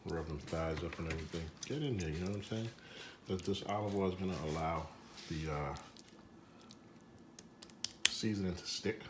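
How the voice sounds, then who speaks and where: Deep-voiced man hosting a cooking demo. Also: moderate pace, pauses often, roomy sound, noisy background. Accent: slips into a Jamaican accent. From Jamaica. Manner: chatty, loose and jokey with frequent fillers. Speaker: cooking show host. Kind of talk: casual cooking demonstration.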